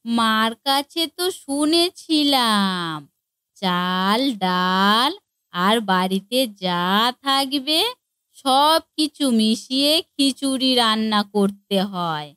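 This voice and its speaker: A woman's voice: fairly high pitch, animated, bright, forceful tone, clean tone, dry recording, full character voice. A woman voicing a naive, eager young daughter-in-law in a family comedy cartoon, speaking in a simple, earnest way. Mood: proud, eager. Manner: slow, simple-minded, earnest delivery.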